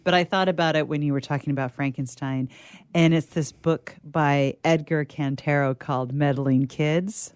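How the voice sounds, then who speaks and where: A woman's voice: sits low, steady tone, normal speed, smooth, no echo. A woman on a radio panel, chatting in an easygoing, conversational way. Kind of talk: casual book chat.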